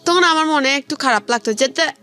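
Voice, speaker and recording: A woman's voice: sits high, brisk, sharp tone. A young woman with a Bangladeshi accent telling her life story in a colloquial way during a radio interview, often quoting conversations directly. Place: radio studio. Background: background music.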